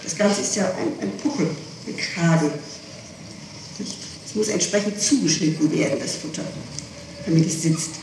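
A woman's voice: on the low side, moderate pace, pauses often, a little mellow. A middle-aged woman answering questions in an interrogation, explaining insistently and repeating herself, on an old tape recording. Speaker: person being questioned by a prosecutor. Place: reverberant room, far from the microphone. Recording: echoey, distant, noisy archival tape recording.

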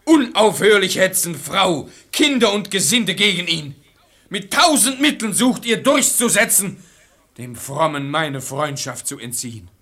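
Casting: a hot-tempered, credulous master of the house, radio verse comedy, forceful bright tone, wildly animated delivery. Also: male voice, sits high, unhurried, full character voice. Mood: furious, defiant. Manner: emphatic, blustering, theatrical. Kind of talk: classical verse stage comedy as radio play.

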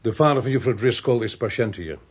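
Husky, weary police inspector. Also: male voice, mid-range voice, lively intonation, fairly quick. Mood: matter-of-fact. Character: weary, patient, polite male police inspector. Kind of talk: radio play acting.

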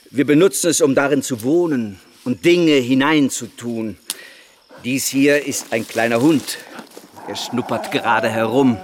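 An actor playing an eccentric, lecherous missionary preacher in a radio play, rambling and fawning with self-satisfied rhetorical questions. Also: male voice, mid-range voice, a little hiss or hum.

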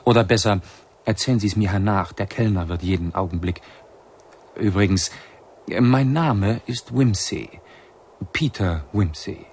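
A polite, curious gentleman in a radio drama who relishes the macabre. He speaks slowly in a fairly low, urbane voice, with faint ambient noise around him. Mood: urbane curiosity. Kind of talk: German radio drama acting.

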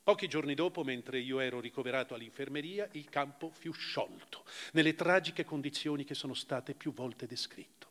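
An actor voicing a grave, reflective camp survivor in a theatrical literary stage reading, swelling in emphasis and dropping to hushed phrases. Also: male voice, medium pitch, moderate pace, few pauses, close to an everyday voice. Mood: sombre. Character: male camp survivor recalling his ordeal, grave and reflective. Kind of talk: dramatic literary stage reading, first-person narration. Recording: long hall reverb of a theatre.

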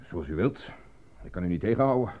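A man's voice: deep voice, expressive, a little fast. A man acting as an evasive, formal casting agent who talks down to a client in a radio drama. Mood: dismissive. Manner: formal, condescending, bureaucratic. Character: evasive, patronizing male casting agent stonewalling an unemployed actor. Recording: thin phone-like old radio recording.

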